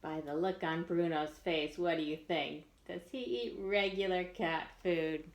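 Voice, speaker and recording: A woman reading a picture book aloud to young children in an expressive storyteller's manner, with questions for her listeners. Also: on the low side. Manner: slow and expressive, pausing to ask listeners questions.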